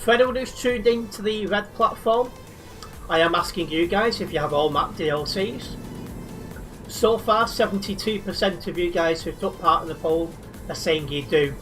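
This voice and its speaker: A man's voice: sits high, moderate pace, a bit bright, faint music behind. A man chatting casually to viewers while live-streaming a driving game, reading and answering chat, a little sleep deprived.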